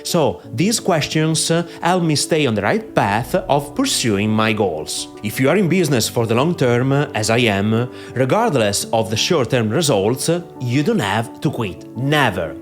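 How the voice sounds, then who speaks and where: A man's voice: mid-range voice, sing-song, normal speed, hardly any pauses, soft background music. A middle-aged man with a strong Italian accent, a non-native English speaker, giving online marketing advice straight to camera with many "uh" fillers and emphatic lifts on key phrases. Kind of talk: direct-to-camera advice talk. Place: in front of a green screen. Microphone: podcaster microphone.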